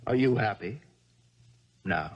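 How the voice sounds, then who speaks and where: An actor playing a smooth-talking millionaire gambler, coaxing and halting. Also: male voice, fairly low pitch, lively intonation. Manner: coaxing, hesitant, halting restarts. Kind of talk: 1970s radio drama acting. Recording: dull lo-fi 1970s radio broadcast.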